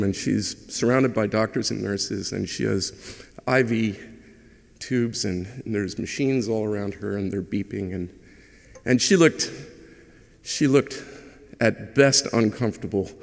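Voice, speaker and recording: A middle-aged man, Hungarian his first language, delivers a eulogy into a lectern microphone in a large church. His voice is somewhat low and gravelly, and he talks conversationally, with light humor and frequent hesitations. A long church reverb trails each phrase. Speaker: son giving a eulogy. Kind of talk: funeral eulogy.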